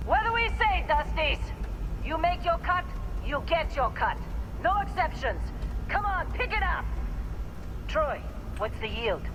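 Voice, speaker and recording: A woman's voice: fairly high pitch, background noise. An actress playing a seasoned female mining boss in a video game, commanding and dryly wry. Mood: commanding, raised voice.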